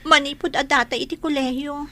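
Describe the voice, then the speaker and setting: A woman's voice: high voice, steady tone, fairly quick, bright, forceful tone. A woman playing a lovesick, pleading mistress in an audio drama, speaking emotionally. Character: lovesick, pleading mistress clinging to a married man.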